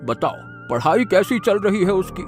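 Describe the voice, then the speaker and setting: A man's voice: high voice, very animated, moderate pace. A man playing a kindly, trusting, elderly factory owner in a cartoon moral story, with a fatherly manner. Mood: reassuring. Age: older. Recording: music behind the voice.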